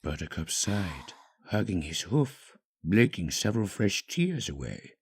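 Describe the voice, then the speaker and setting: A man's voice: deep voice, very animated, measured pace, breathy edge. A man reading a fan-fiction story as a dramatic scripted narrator.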